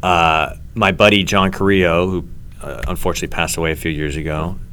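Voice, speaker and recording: A man with a very low voice talks through old stories in a loose, rambling way. His tone is bright and smooth, full of ums and restarts as he gathers his thoughts. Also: steady tone, brisk. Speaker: comedy show host. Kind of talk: casual podcast chat.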